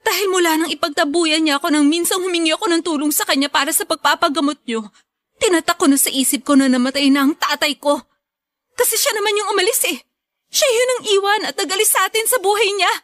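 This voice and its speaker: A grown Filipino daughter full of resentment. Her voice is very high and her delivery is accusing and confrontational, in dry radio drama sound. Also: fast talker, fairly bright tone, no echo. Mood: bitter, hurt.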